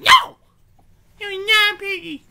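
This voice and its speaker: A performer voicing a vain, pushy, crude male pig in a comedic puppet-show style, squeaky and blustering. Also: fairly even intonation, measured pace, creaky, pushed and bright, heavily acted. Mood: boastful, indignant.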